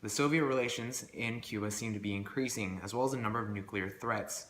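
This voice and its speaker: Bright-voiced man reading news, echoey room. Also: lowish voice, a little fast. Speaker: newsreader. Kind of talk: amateur scripted newsreel narration. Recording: echoey room with faint background noise.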